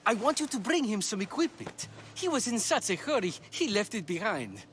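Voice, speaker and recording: A man's voice: high-pitched, sing-song, normal speed, a bit bright, a little hiss or hum. A man playing an anxious, earnest assistant to an ancient Greek physician in a video game. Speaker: game character. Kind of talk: video game cutscene acting.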